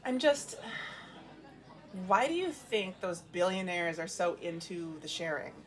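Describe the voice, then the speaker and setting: A woman's voice: fairly low pitch, big pitch swings, a little slow. Uneasy woman investigator. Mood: hesitant, probing. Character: uneasy, watchful woman investigator, secretly recording. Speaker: narrator and lead character of an audio drama. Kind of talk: scripted first-person narration and dialogue.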